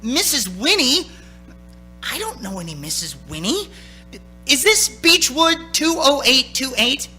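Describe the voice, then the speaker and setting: A man plays a haunted young driver coming apart in a radio drama. The voice is bright and forceful, telling the tale with halting, desperate intensity. Music sounds faintly behind it. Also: extremely high pitch, big pitch swings, heavily acted. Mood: alarmed. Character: frightened young man driver, unravelling, narrating his ordeal. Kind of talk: dramatic radio play acting.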